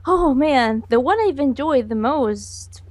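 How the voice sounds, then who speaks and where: A young woman giving a casual interview over a voice call as an animator. She talks in halting bursts and laughs often. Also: high-pitched, animated, a little mellow, no echo. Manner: giggly, halting, speaks in bursts. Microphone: computer mic on a voice call.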